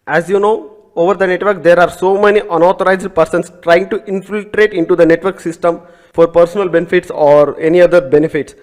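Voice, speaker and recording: A man's voice: sits high, steady tone, brisk, a little mellow. Methodical Telugu-speaking male tech instructor. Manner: methodical, repeats and rephrases terms, small slips. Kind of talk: recorded networking lecture.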